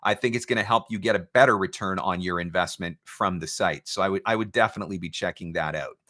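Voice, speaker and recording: A man hosting a live question-and-answer advice session, speaking as a coach in an emphatic, deliberate way and repeating his key points. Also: low voice, bright, forceful tone. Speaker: host, voice-over marketing coach. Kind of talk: live Q&A advice talk, reading out viewer questions.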